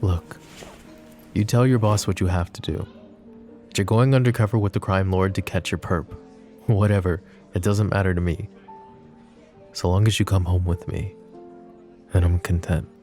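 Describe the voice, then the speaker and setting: A man playing a flirty, possessive mafia boss in a roleplay ASMR drama, smooth-talking, teasing and protective. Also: low-pitched, fairly even intonation, normal speed, pauses often, dark tone, soft background music, close to an everyday voice. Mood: insistent, protective. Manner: smooth-talking, teasing, pet names, sighs.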